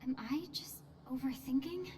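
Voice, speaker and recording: A woman's voice: high voice, moderate pace, dark tone, lightly acted. A woman voicing a puzzled, earnest young video game adventurer in a hesitant, musing manner.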